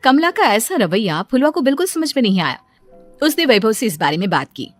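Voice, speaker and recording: A woman's voice: a little high, big pitch swings, fairly quick, dry recording, soft background music. Woman narrating a cartoon story.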